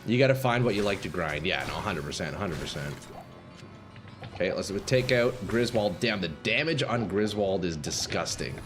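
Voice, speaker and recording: A man streaming a game live, chatting casually with viewers, swearing and reacting loudly, his voice going a little hoarse. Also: low-pitched, lively intonation, moderate pace. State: slightly hoarse, losing voice. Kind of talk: casual live-stream chat while playing. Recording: faint game music behind.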